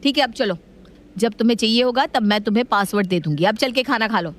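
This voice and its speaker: A woman playing a strict, watchful modern mother with a firm, knowing, scolding manner in an animated family story. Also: fairly high pitch, fast, lightly acted.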